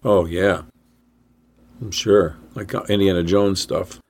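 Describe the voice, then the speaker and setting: A man's voice: deep voice, steady tone, creaky, a little mellow. A man co-hosting a casual podcast, mostly reacting to his partner with short comments and jokey asides. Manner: chatty, quick backchannel reactions and asides. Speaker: podcast co-host.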